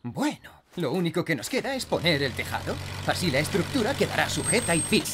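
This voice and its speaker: A man playing a friendly, calm builder who leads his team, in a Castilian Spanish cartoon dub. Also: a little high, a little fast, noisy background. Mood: upbeat, organising. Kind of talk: animated children's cartoon dub. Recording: music behind the voice.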